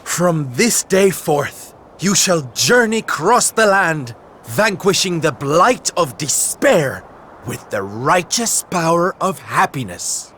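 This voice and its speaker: A man's voice: a little high, very animated, slow, fairly bright tone, slight background noise, heavily acted. An actor playing a flamboyant, theatrical male entertainer in a video game cutscene, speaking in a showy, grand, sing-song way. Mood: exuberant. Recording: game music behind.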